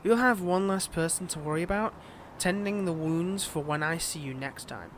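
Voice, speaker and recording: A man's voice: fairly high pitch, normal speed, background noise, natural voice. A man playing a smug, possessive fantasy villain in a roleplay audio drama, with a taunting, theatrical manner. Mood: smug, threatening.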